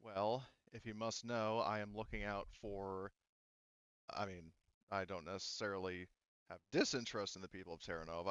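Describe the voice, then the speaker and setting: A man voicing a guarded, loyal woman deputy in a tabletop game, measured and matter-of-fact, on a slightly dull microphone. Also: on the low side, a bit bright, smooth, no echo, lightly acted.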